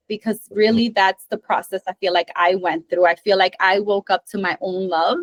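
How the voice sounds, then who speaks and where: A woman's voice: mid-range voice, no echo. A woman from Brooklyn with a mixed Brooklyn and New England accent, a health coach talking earnestly and laughing often as an interview guest. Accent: mixed Brooklyn and Massachusetts. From Brooklyn, New York. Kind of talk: casual interview chat.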